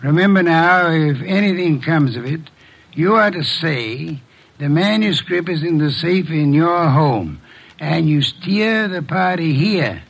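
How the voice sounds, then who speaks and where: An actor playing a shrewd, imperious detective with pompous, precise diction, in classic radio mystery style. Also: male voice, fairly high pitch, expressive, unhurried, gravelly, exaggerated voice. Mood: brisk, authoritative. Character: shrewd, imperious middle-aged detective, dry and condescending. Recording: old radio drama recording.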